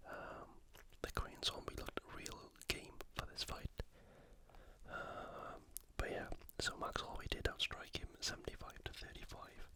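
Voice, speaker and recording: An ASMR YouTuber speaks entirely in a breathy whisper, close to the microphone. The delivery is soft and unhurried, like a quiet sports rundown meant to relax.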